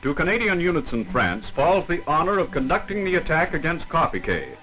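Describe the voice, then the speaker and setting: A man's voice: a little high, very animated, brisk. A man reading scripted wartime newsreel narration in a formal, dramatic 1940s manner. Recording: dull vintage 1940s film soundtrack. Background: loud background music.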